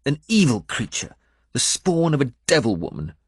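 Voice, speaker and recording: An anxious royal lady voiced by a male narrator in a historical audiobook. The voice is raised high and swings expressively. She speaks earnestly and emphatically, with pride and defiance beneath the worry. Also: sing-song, normal speed, breathy edge, no room sound, clean studio sound. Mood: spitting scorn.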